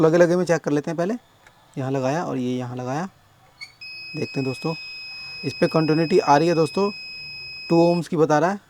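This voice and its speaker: A man with a sore, hoarse throat explaining a speaker repair step by step at his workbench, often addressing viewers as friends. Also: a little high, a little mellow. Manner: explains slowly, keeps calling viewers friends. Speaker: electronics repair technician.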